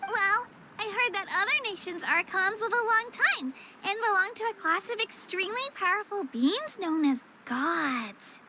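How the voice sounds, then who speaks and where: Very high-voiced, upbeat girl guide. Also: expressive, measured pace, few pauses, noisy background. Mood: earnest, explaining. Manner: friendly, chatty, eager explaining. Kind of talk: video game voice acting. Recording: music behind the voice. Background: game music.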